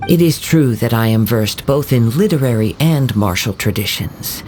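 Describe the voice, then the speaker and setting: A man voicing a calm young wandering samurai who speaks in a formal, measured, courteous way. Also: mid-range voice, faint music behind, close to an everyday voice. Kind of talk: video game voice acting.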